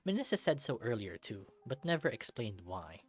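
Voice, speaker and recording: A man's voice: mid-range voice, big pitch swings, normal speed. A man reading a visual novel aloud as a let's-play, narrating expressively. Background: game music.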